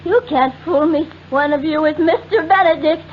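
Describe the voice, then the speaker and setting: Woman acting a high-pitched, desperate, overwrought wealthy lady. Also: moderate pace, natural voice. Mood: deranged, frightened. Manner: overwrought, pleading, repeating herself. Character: desperate wealthy married woman, terrified and fragile. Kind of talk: 1940s radio melodrama acting. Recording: muffled old radio recording with hiss.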